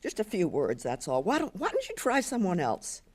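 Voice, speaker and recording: A guarded old grandmother answers in low, quiet, clipped words, as a woman reader puts her on. She keeps her phrases short and gives little away. It is read as a scene in an audiobook. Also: wildly swooping pitch, moderate pace. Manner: low, terse, withdrawn.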